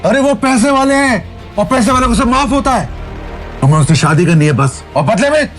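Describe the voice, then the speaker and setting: A man's voice: very high voice, lively intonation, a little fast, faint music behind. An actor playing a greedy, scheming middle-aged father in an audio drama, with a domineering manner. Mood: angry scolding. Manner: domineering, scolding. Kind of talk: Hindi audio drama acting.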